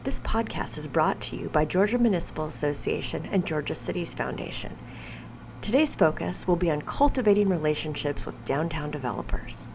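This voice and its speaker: A woman reading a formal scripted podcast introduction in a crisp, formal way. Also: deep voice, animated, a little fast. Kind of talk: scripted announcement. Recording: noticeable background noise.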